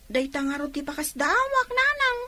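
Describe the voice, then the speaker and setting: Very high voice, woman acting a dutiful young village daughter, Ilocano accent, respectful, radio drama. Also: big pitch swings, moderate pace, bright, forceful tone, heavily acted. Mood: questioning, aggrieved.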